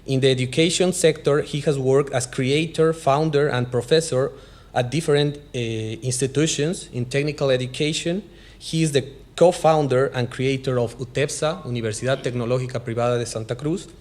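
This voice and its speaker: A man's voice: medium pitch, fairly even intonation, moderate pace, darkish tone. Man reading a formal introduction. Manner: formal, read from notes. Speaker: presenter introducing the speaker.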